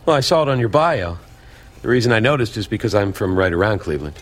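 An actor playing a mild, inquisitive male dinner guest in an audio drama, speaking politely and with quiet probing. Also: on the low side, animated, quick pace. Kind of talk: naturalistic audio drama acting.

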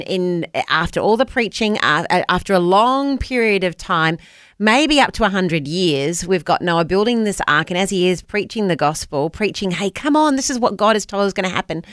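A woman's voice: medium pitch, a bit bright, dry recording, clean studio sound. Enthusiastic New Zealand woman on radio. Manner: enthusiastic, reflective, reads scripture aloud. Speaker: radio host. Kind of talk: conversational Bible discussion on radio.

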